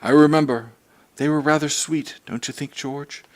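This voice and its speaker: A man voicing a gentle, placid Victorian mother remembering her children in a storybook reading. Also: a little high, fairly even intonation, normal speed, pitched above the performer's own voice, close to an everyday voice. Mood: wistful.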